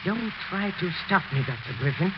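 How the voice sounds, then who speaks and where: An actor playing an obsessed, grieving husband, intense and theatrical, in 1940s radio melodrama. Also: male voice, sits high, animated, moderate pace. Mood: frantic, obsessed. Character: obsessed grieving husband, desperate, morbid, unhinged. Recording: very noisy, muffled 1940s radio recording.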